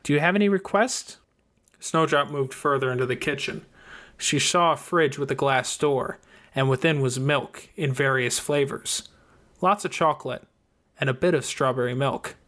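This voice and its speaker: A man's voice: medium pitch, normal speed, a bit bright. A man reading a scripted fiction audiobook as its narrator. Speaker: audiobook reader.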